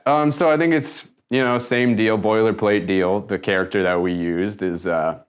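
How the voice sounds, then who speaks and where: A man with a slightly low voice plays it straight as an interviewed animation writer. He is deadpan and evasive, often sounding muddled as he improvises his answers in a comedy interview. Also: normal speed, clear, resonant tone.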